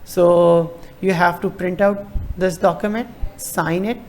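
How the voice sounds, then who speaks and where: A man giving a screen-recorded walkthrough of a form, hesitant and halting with many fillers and restarts. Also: sits high, fairly even intonation, a little mellow, slight background noise. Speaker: tutorial presenter.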